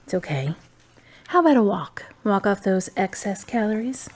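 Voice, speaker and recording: A woman's voice: mid-range voice, very animated, normal speed, a little mellow. An actress playing a frank, playful divorced woman in a radio drama, talking in a teasing, direct way.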